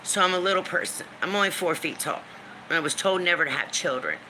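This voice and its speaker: A woman in her fifties with a deep smoker's voice, venting on a live stream in a blunt, emphatic way, swearing freely and repeating lines for emphasis. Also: quick pace, noisy background. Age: 50s. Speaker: livestream host. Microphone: phone or webcam for a live stream.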